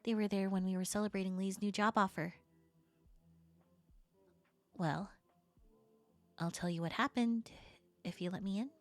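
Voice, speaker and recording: Woman acting flirty, expressive best friend, close and dry. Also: mid-range voice, no room sound, natural voice. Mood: sheepish, casual. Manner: casual, expressive, hesitant trailing-off phrases. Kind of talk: intimate audio roleplay acting, conversational.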